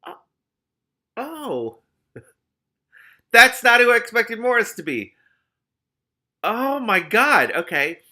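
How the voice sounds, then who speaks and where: Very bright, animated man reading aloud. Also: extremely high pitch, slow, long pauses. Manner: animated, expressive, exclamatory. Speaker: host of a read-aloud commentary show. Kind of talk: reading a novel aloud with spontaneous commentary.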